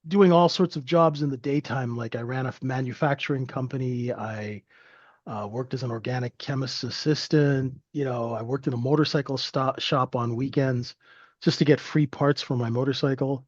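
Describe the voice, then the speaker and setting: A man's voice: medium pitch, fairly even intonation, moderate pace, no echo, dull audio. A man in his fifties from Canada, telling his life story in a rambling, friendly way with lots of fillers. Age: 50s. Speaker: guest trader. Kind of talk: casual interview storytelling.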